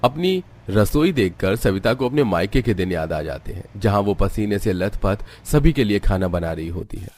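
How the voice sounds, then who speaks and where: A man's voice: fairly low pitch, expressive, normal speed, few pauses, darkish tone. A man reading scripted narration for a story as its narrator.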